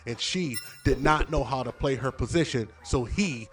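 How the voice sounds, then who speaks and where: A man's voice: medium pitch, normal speed. A man giving opinion commentary in a played video, lecturing emphatically and hammering home each point. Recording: music bed under the voice.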